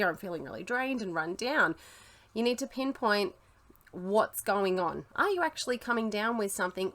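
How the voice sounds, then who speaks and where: A woman's voice: on the low side, a bit bright. Chatty, reassuring woman teacher vlogger. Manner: chatty, reassuring, occasional laughs. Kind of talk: casual advice vlog.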